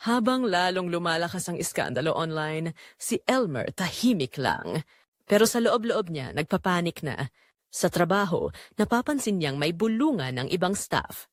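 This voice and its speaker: A woman's voice: on the low side, big pitch swings, moderate pace, dry recording. A woman with a Filipino accent narrating a scripted dramatic story.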